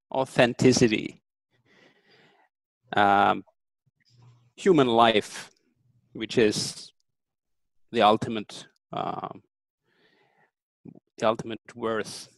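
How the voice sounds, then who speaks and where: A man in his thirties, a native German speaker, giving earnest, thoughtful interview answers with frequent pauses and ums. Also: mid-range voice, no room sound, rough recording. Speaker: interview guest.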